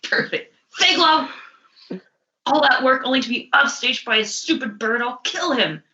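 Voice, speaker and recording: A defiant comic-book bounty hunter, read by a woman, sassy and ready to argue. The voice is bright and animated, on slightly lo-fi audio. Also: high voice, normal speed, reverberant, voice pushed up. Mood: exasperated. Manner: sassy, combative. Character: brash, defiant young female bounty hunter.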